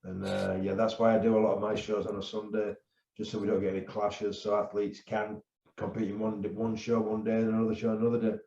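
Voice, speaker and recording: Loud-voiced man in a roomy space. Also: fairly low pitch, monotone, a little fast, creaky, a little hiss or hum. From England. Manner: loud-voiced, repeats phrases. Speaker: bodybuilding show promoter. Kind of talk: casual podcast chat. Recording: roomy, dull sound.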